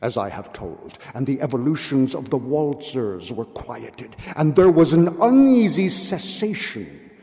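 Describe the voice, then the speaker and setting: A man giving a dramatic, theatrical reading of gothic horror, with drawn-out words, pauses and hushed drops. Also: mid-range voice, very animated. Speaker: literary reader. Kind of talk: dramatic literary recitation of a gothic horror tale. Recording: long hall-like reverb.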